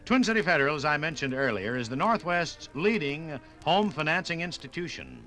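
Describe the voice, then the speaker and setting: A man's voice: fairly high pitch, expressive, fairly quick, bright, forceful tone, faint music behind. A man reading a folksy, conversational sponsor message in a 1950s radio style.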